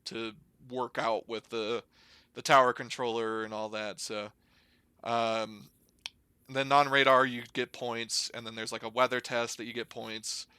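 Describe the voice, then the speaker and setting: A young American man with a bright, forward voice, recorded close and dry. He talks casually, as if explaining things to a friend. His delivery is informal and rambling, with plenty of slangy hedging. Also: mid-range voice, level tone, unhurried, clean tone, no echo. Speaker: podcast guest, air traffic controller. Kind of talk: casual conversational storytelling and explanation.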